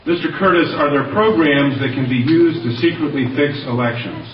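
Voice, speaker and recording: An American man formally questioning a witness at a hearing, with deliberate, lawyerly phrasing. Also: fairly high pitch, expressive. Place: large indoor hearing room. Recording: roomy, distant, dull, faintly noisy hearing audio.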